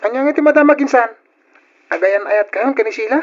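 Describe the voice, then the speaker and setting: An actor playing a lively, teasing, excitable companion in an old radio drama. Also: medium pitch, a little fast, soft background music, natural voice. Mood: teasing, encouraging. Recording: dull lo-fi old radio recording.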